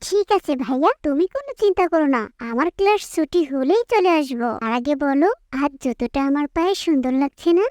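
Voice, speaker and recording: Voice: high voice, animated, fairly quick, a little mellow, no echo, studio quality. A performer voicing a poor little sister in a moral cartoon, sweet, sensitive and earnest in a childlike way. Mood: cheerful. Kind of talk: Islamic moral cartoon dialogue.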